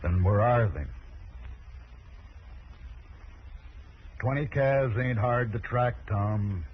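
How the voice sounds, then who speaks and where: A man's voice: medium pitch, measured pace, lightly acted. An American actor playing a stern, middle-aged rancher father in a radio western, terse and blunt. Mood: commanding. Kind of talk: 1950s radio western acting. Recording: tinny, faintly noisy old radio broadcast.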